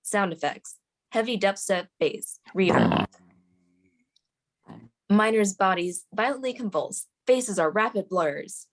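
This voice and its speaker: A woman's voice: mid-range voice, animated, slow, pauses often. A woman reading scripted stage directions aloud as the narrator of a screenplay table read.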